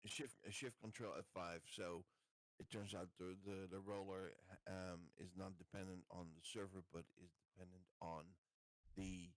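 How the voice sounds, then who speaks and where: Deep-voiced male gamer, casually explaining tech, halting delivery, dry close recording. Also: flat delivery, no room sound, rough recording.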